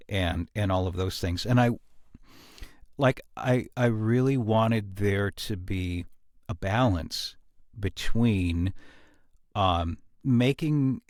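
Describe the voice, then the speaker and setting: A man talking casually as a podcast host about creative work, in an earnest, reflective way with halting pauses. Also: fairly low pitch, dry recording, studio quality. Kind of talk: casual behind-the-scenes discussion.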